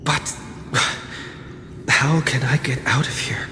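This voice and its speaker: An actor playing an earnest, worried young hero in a video game, musing aloud anxiously. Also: male voice, medium pitch, fairly even intonation, slow pace, sharp tone. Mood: worried, pondering. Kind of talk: scripted game dialogue. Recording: reverberant hall, clear background noise.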